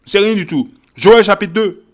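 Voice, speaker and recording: A man's voice: sits high, normal speed. A man teaching a Bible sermon, reading verses and repeating key phrases with emphasis, often checking in with "ok?" and "hein?". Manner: shifts from quiet verse reading to loud exhortation. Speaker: preacher.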